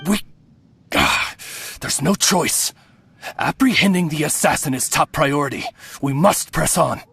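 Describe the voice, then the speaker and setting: Gravelly, dutiful soldier. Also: male voice, fairly high pitch, lively intonation, unhurried, a bit bright, heavily acted. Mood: urgent, resolute. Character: dogged male soldier hunting a fugitive, urgent and dutiful. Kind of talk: fantasy game voice acting.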